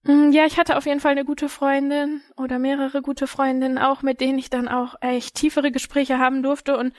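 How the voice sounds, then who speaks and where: A woman's voice: high-pitched, flat delivery, moderate pace, dry recording, studio quality. A young adult woman sharing personal memories casually as an interview guest.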